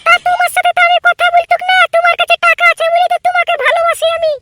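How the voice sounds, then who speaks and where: An extremely high, bright cartoon girlfriend voice. She is whiny and clingy and talks fast, pouting at her boyfriend in a flat, squeaky tone. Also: dry recording, clean studio sound. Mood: coy. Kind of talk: cartoon voice acting.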